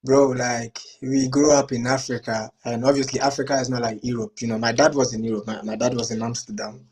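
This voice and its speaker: A man's voice: mid-range voice, level tone, a little fast, darkish tone, husky. A man in his late twenties from Ghana, a guest on a talk show, speaking casually with lots of slang and "like" fillers. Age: 20s. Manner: laid-back, slangy, many "like" and "bro" fillers. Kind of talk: casual personal story.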